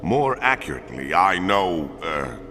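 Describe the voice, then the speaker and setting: A man voicing a formal, measured four-armed alien lawman in a video game cutscene. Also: sits low, sing-song, in a large hall, noisy background. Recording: game audio with music underneath.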